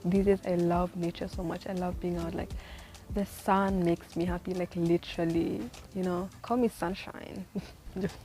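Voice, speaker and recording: A woman's voice: on the low side, dark tone. A twenty-year-old woman vlogging casual life advice, rambling and chatty with lots of "like" and "you know", often laughing at herself. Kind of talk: casual rambling monologue to camera. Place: in the city where she lives.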